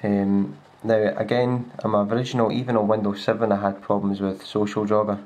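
A man with a Scottish accent gives a casual, hands-on demo of a laptop, talking in a relaxed way with lots of fillers and restarts, recorded on a handheld camera indoors. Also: lowish voice, a little fast. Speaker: tech reviewer. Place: indoors at a wooden table. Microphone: handheld camera filming a laptop screen.

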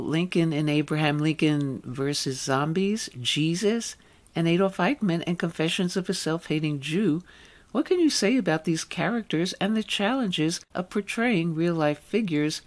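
A woman hosting a radio show, putting prepared interview questions to a guest. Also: fairly low pitch.

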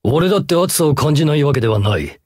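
Brooding male game hero: rough-voiced, tender, solemn, very dry studio sound. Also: on the high side, expressive, quick pace, a little mellow, dry recording. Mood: pensive. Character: gentle, brooding scarred masked male hero. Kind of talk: Japanese mobile game character acting.

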